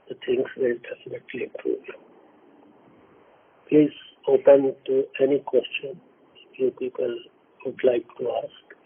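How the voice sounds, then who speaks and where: A businessman with an Indian accent gives unscripted remarks in a husky voice that stays on a narrow, even pitch. His phrasing is deliberate and halting, with words repeated as he goes. The sound is band-limited, like a telephone conference line. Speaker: company executive. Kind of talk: unscripted earnings call remarks. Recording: narrow tinny phone-line audio, muffled.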